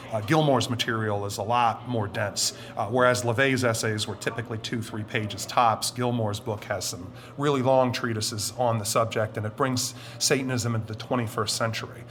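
A middle-aged man with a deep voice talks through his answers in a measured, explanatory way, leaning on certain phrases and stretching them out. A faint hiss of background noise sits under the recording. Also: steady tone, fairly bright tone. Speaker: interview guest. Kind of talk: conversational interview answers.

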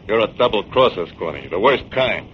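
A man's voice: on the low side, lively intonation, moderate pace, close to an everyday voice. A man playing a tough, suspicious gangster, blunt and menacing, in a 1940s radio drama. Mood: menacing, distrustful. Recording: muffled, noisy 1940s radio recording.

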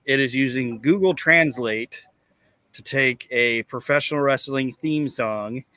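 A man hosting a casual comedy podcast, chatting and reading things out in a deadpan way. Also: mid-range voice, measured pace, no echo. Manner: deadpan, monotone when reading. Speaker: podcast host. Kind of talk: casual comedic podcast chat with mock recitation.

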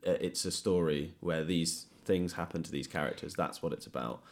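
A man's voice: low voice, normal speed. A man hosting a conversational interview, speaking tentatively and thoughtfully as he searches for the right words. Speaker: podcast host. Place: indoors, guest's home.

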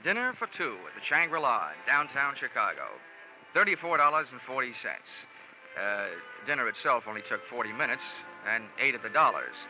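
A man's voice: mid-range voice, very animated, normal speed, natural voice. Man acting a hardboiled radio detective, old dull recording. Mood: wry, relaxed. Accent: American. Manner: wry, hardboiled, wisecracking. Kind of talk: 1940s radio detective drama, first-person narration. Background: dramatic radio music.

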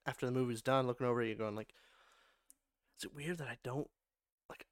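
A young man co-hosting a podcast in casual chat, lively and self-mocking, quick to laugh. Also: mid-range voice, no echo, studio quality. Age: young adult. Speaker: podcast co-host.

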